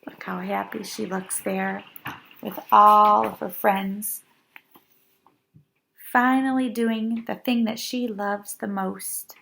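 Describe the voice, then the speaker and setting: A woman storyteller reads a children's book at an unhurried pace. Her voice is bright with a creaky edge, and she leans into words dramatically and adds small asides for young listeners. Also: mid-range voice, fairly even intonation, rough recording. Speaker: storyteller reading a picture book aloud. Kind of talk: expressive children's read-aloud with asides.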